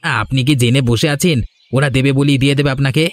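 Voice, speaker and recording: A man's voice: mid-range voice, fairly even intonation, fairly quick, no echo, lightly acted. A man voicing a scheming, cowardly landlord's servant in a cartoon drama, argumentative and insistent. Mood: doubtful. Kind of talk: Bengali cartoon drama dialogue.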